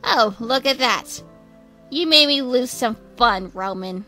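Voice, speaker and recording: Girl doing a bratty evil twin guy for a comic dub, high and bright, swings all over, music behind. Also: lively intonation, slow pace, full character voice. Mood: sulky, mocking. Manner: sarcastic, blunt, sweary, hammy outbursts. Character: crude, bratty male creative twin, grumpy, secretly soft. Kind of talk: amateur comic dub acting.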